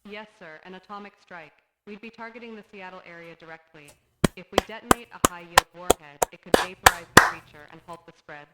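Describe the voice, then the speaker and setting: A woman's voice: on the low side, a little fast, close to an everyday voice. A woman playing an urgent, hard-line military adviser addressing a president in a horror animation. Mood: grim, urgent. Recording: roomy echo, faint noise.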